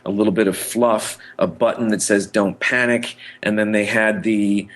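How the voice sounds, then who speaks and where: A man with a fairly low voice chats casually as a podcast co-host. He is enthusiastic about pop culture and rambles, often breaking into his own sentences. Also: steady tone, moderate pace, a bit bright.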